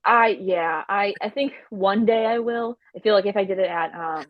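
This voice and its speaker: A woman's voice: mid-range voice, moderate pace, dry recording, lo-fi sound. A woman comedian guesting on a podcast, talking casually with a stutter, repeating sounds and restarting words, and swearing freely. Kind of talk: casual chat.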